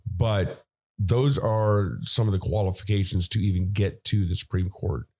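A man co-hosting a casual comedy podcast, talking in a deadpan way and explaining things plainly. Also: sits low, normal speed, slightly rough. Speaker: podcast co-host.

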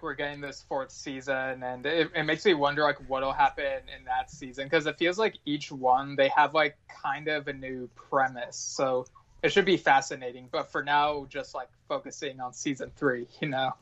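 A man chats casually as a guest on a podcast. His voice sits at an average pitch, and the recording is a little dull and lo-fi. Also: steady tone, unhurried, fairly bright tone.

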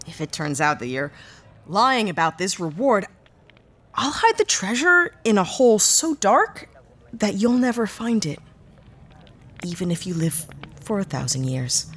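A woman playing a wry, sceptical, hard-up spaceship pilot in a sci-fi audio drama, with sarcastic, halting asides. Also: mid-range voice, very animated, a bit bright, a little hiss or hum. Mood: threatening.

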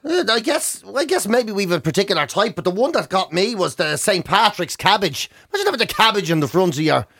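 A man's voice: high-pitched, sing-song, fairly quick, hardly any pauses, a bit bright. An Irish man with a Dublin accent, co-hosting a breakfast radio show with comic, exaggerated ranting stories and banter.

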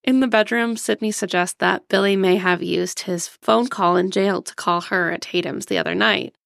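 A woman with noticeable vocal fry co-hosts a casual movie recap show. She is giggly and conversational, moving between summary and chat. The sound is close and dry. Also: mid-range voice, steady tone, normal speed, a bit bright, no room sound. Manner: giggly, laughs often. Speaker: podcast co-host.

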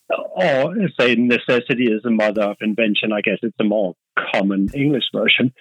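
A man's voice: fairly low pitch, moderate pace, a bit bright, dry recording, low-quality recording. A man from Denmark with a Danish accent, talking through his answers as a podcast guest, with plenty of fillers and restarts. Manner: hesitant, thinks aloud with many fillers and restarts. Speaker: guest, marketing director. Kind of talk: casual podcast interview.

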